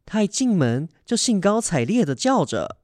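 Lively young male story narrator. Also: high voice, moderate pace, a little hiss or hum. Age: young adult.

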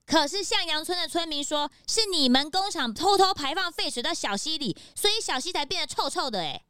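A woman voicing an excitable, easily confused male detective's assistant in a children's radio drama. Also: high voice, fairly quick. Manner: wide-eyed, exclaims a lot.

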